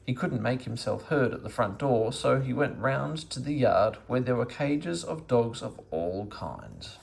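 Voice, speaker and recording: A man narrating a children's storybook aloud in an expressive reading style. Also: medium pitch, a little slow, creaky. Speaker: storybook reader.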